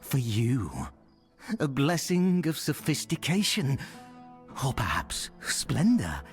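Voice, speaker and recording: An actor playing a creepy, fawning, self-abasing scavenger peddler in a video game, speaking in a lingering, obsequious way. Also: male voice, medium pitch, very animated, soft background music. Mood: mystical, coaxing. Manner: slow, lingering, obsequious, repeats words, chuckles. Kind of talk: video game character dialogue.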